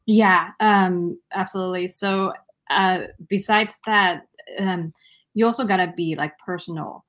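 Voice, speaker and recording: A woman from Malaysia answers as a guest in a relaxed interview. She speaks with frequent fillers and restarts. Her voice is somewhat low for a woman. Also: unhurried. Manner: hesitant, many fillers and restarts.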